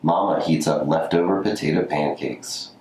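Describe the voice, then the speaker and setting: A man with a notably deep voice reads a storybook aloud. The recording is roomy and echoey, with faint noise behind him. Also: moderate pace, a little mellow. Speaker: community story reader. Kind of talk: scripted storybook reading.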